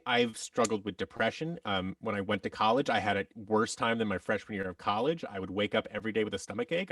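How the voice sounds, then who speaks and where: A man's voice: lowish voice, a bit bright, no echo, distant mic. A man telling personal stories as a podcast guest, candid and reflective, often interrupting himself.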